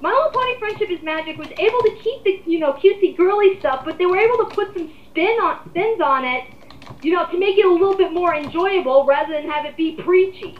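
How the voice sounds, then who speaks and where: A woman's voice: extremely high pitch, lively intonation, fast, roomy sound, dull audio, a little hiss or hum. A woman, giving blunt, rambling, emphatic opinions in a casual group discussion that she leads. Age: 20s. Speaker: host leading a group discussion. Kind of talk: casual opinionated commentary chat.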